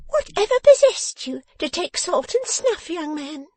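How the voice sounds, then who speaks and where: High, pert, spiteful little dressmaker. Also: female voice, very animated, a little mellow, no room sound, full character voice. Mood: sharp, brisk. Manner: pert, brisk, slyly exultant. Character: sharp, spiteful, nimble little woman dressmaker, gleefully mocking. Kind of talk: audiobook character voice from a Victorian novel.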